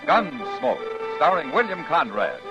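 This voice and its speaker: A man's voice: on the high side, wildly swooping pitch, takes it slowly. A man with an American accent announcing a 1950s radio program in a dramatic, declamatory style. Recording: muffled old radio recording. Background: loud theme music.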